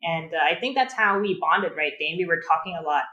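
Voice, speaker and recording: Female interview guest from Thailand, lowish voice, talkative, restarts sentences often. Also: lively intonation, a little fast, dull audio. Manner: chatty, self-correcting, friendly. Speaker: guest, project manager. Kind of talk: casual interview.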